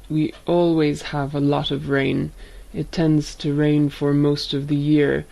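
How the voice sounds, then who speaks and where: Slow, gravelly woman. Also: sits low, warm tone. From Ireland. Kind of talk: unscripted answers for a learner video.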